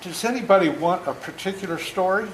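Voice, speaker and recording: A man's voice: high voice, a little fast. Older man storytelling in an echoey room. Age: seventies. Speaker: author reading to schoolchildren. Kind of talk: storytelling talk and read-aloud to children. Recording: echoey room with faint noise.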